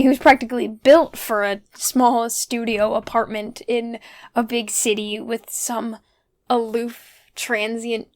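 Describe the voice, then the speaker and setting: Young woman, slightly high creaky voice, wry, pensive, conversational audio drama monologue. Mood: wry, amused. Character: wry, pensive young person alone in an isolated house. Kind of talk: audio drama monologue, casual reflective recording.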